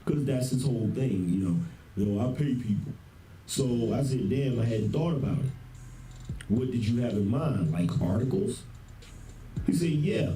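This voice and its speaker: A man's voice: mid-range voice, expressive, normal speed, pauses often, mellow, slight background noise, low-quality recording. A man casually recounting conversations in the first person, informal and slangy with some profanity. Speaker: internet commentator.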